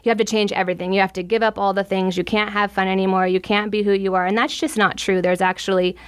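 A woman's voice: mid-range voice, little pitch movement, fast, smooth. A woman hosting a podcast interview, eager and effusive, asking long rambling questions. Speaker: podcast host. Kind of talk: casual interview.

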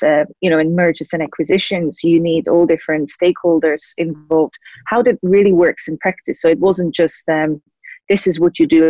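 A young woman with a Czech accent answers interview questions conversationally, often pausing mid-sentence as she goes. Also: low-pitched, dark tone, no room sound, low-quality recording. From Czech Republic. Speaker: guest, lawyer and compliance officer.

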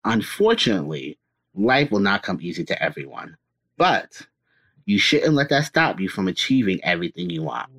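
A man's voice: lowish voice, big pitch swings, normal speed, fairly bright tone. A man from Connecticut reading a prepared film response with expression. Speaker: student guest.

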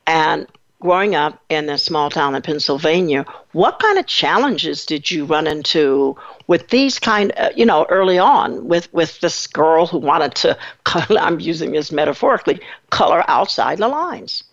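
A woman hosting an interview, asking long, winding questions and offering steady affirmations. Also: low-pitched, normal speed. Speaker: host. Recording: dull lo-fi line.

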